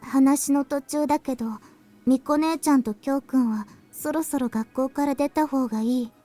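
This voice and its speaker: A woman's voice: high-pitched, fairly even intonation, fast talker, dark tone, soft background music, lightly acted. Composed anime schoolgirl played by a woman. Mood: calm, serious. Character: calm, composed high-school girl in anime visual novel. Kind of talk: Japanese visual novel voice acting.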